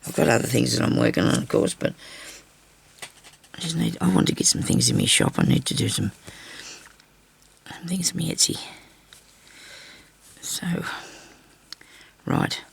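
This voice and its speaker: Gravelly, husky older woman. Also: extremely low pitch, wildly swooping pitch, unhurried, pauses often, whispered. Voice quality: raspy. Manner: chatty, rambling asides while stitching. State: hoarse with a bad cough. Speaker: crafting channel host. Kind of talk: casual sewing tutorial chat. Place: home craft table. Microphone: overhead camera on her hands.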